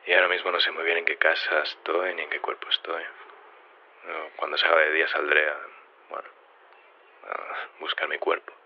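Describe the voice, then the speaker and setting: A man's voice: deep voice, slight background noise. A man playing a groggy, just-woken scientist in a fiction podcast, rambling hesitantly through a voice message about waking in a borrowed body. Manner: hesitant, halting, muttering. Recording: dull lo-fi voice message.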